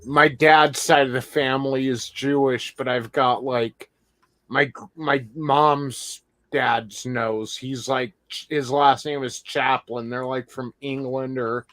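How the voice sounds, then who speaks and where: A man's voice: medium pitch, fairly even intonation, slow pace, pushed and bright. A man chatting casually in a loose, laid-back way. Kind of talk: casual chat.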